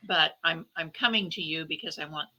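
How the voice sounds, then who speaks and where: A woman with a very bright, sharp-edged voice set slightly low for a female speaker. She is a novelist being interviewed and explains things in a conversational way. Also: fairly quick.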